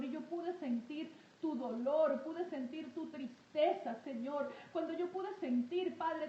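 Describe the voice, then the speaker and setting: Female prayer leader, high-pitched with a soft-edged tone, fervent and insistent delivery, echoey room with faint noise. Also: lo-fi sound. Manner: emphatic and repetitive, with frequent invocations. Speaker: prayer leader preaching to an online audience. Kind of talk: fervent intercessory prayer and exhortation. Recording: roomy echo, faint background noise.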